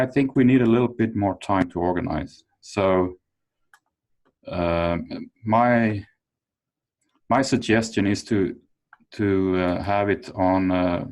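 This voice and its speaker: A man's voice: on the low side, takes it slowly, darkish tone. A man chairing an informal work conference call, hesitantly thinking aloud. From Sweden. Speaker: meeting chair.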